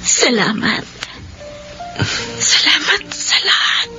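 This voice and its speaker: A woman playing a gentle young wife and mother with a Filipino accent, soft and affectionate in a sentimental radio drama. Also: high-pitched, very animated, takes it slowly, soft background music, heavily acted. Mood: tender. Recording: dull lo-fi audio with faint noise.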